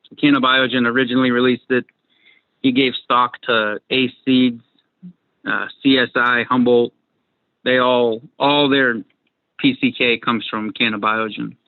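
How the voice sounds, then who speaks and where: A man's voice: medium pitch, little pitch movement, a little slow, no room sound. A young man from Massachusetts with a Massachusetts accent, chatting casually as a guest over a video call, rambling and repeating his words. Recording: dry tinny video call audio.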